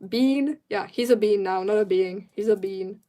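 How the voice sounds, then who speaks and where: A woman chatting on a casual drawing livestream, reading out viewer comments, answering them and trailing off into quiet mumbles to herself as she works. She says she is tired. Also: mid-range voice, moderate pace.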